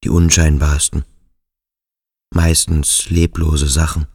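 An actor playing a brooding, introspective adolescent cadet in a radio drama, speaking slowly and deliberately in searching self-questioning. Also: male voice, a bass voice, little pitch movement, a little mellow, no echo, clean studio sound, heavily acted. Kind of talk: literary radio drama monologue.

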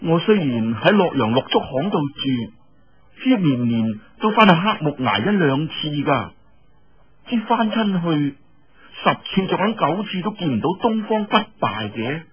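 A man voicing a proud, scornful young woman in a story, with a husky voice and indignant, drawn-out phrasing. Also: a little high, sing-song, exaggerated voice. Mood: thoughtful. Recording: muffled, dull lo-fi audio.

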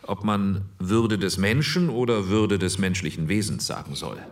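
Committee chair: low-pitched, sober, businesslike, formal re-enactment style. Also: male voice, animated, normal speed, background noise. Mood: matter-of-fact.

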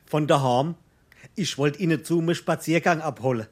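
A man's voice: fairly high pitch, moderate pace, natural voice. An actor playing a good-natured, naive young craftsman in Darmstadt dialect, plain and amiable, in a stage comedy. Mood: amiable, sheepish. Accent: Hessian, Darmstadt dialect.

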